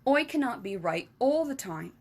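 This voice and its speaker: A woman's voice: sing-song, moderate pace. A woman doing the BFG, a kindly giant. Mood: emphatic. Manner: jumbled grammar, made-up words, booming. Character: big friendly giant, kindly, muddled speech.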